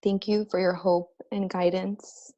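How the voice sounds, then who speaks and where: A woman's voice: medium pitch, little pitch movement, a little slow, warm tone, lo-fi sound. A woman giving a heartfelt, reflective speech at a spiritual ordination ceremony. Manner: earnest, reflective, spiritual address. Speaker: ordained minister giving a speech.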